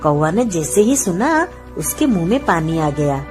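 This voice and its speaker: Female narrator for children's stories, mid-pitched and very expressive, light music behind. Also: unhurried. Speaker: storyteller. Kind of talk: scripted children's story narration.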